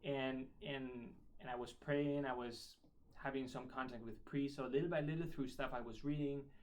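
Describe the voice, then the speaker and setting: A man's voice: mid-range voice, fairly even intonation, normal speed, a little mellow. Brief: man, 20s, Spanish accent, Spanish native speaker, friendly and talkative, loose unscripted interview delivery. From Spain. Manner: friendly, chatty, repeats and restarts words. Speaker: interviewee, member of a religious order. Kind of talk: casual interview chat. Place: school in Ireland.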